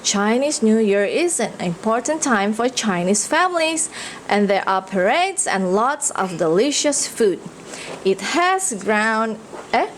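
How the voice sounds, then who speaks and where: Expressive, high-pitched woman reading to children. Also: slight background noise. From Indonesia. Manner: expressive, pausing to ask listeners questions. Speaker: read-aloud storyteller. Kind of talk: picture-book read-aloud for children.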